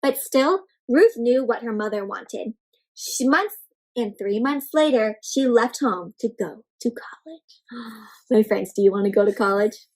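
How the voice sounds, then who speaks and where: A woman reading a picture book aloud to children, interactive and expressive, stressing words and turning to young listeners with simple questions and explanations. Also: a little high, unhurried, rough recording. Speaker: children's read-aloud host.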